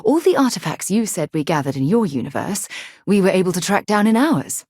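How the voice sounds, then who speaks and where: A woman playing an earnest, principled space explorer with military training in a video game, speaking in a measured, articulate and sincere way with a husky voice. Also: mid-range voice, very animated, a little mellow, dry recording, studio quality. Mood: composed, explanatory. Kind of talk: video game companion dialogue acting.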